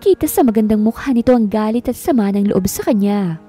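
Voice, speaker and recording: Woman narrating a drama, soft-toned. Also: mid-range voice, animated, brisk, slightly rough, faint music behind. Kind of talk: storytelling narration.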